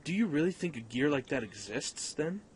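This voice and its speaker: A man playing a level-headed, sceptical young pilot in an audio drama, with a measured, questioning delivery. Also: fairly high pitch, very animated, darkish tone, breathy edge, full character voice. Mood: puzzled. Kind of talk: fan audio drama acting.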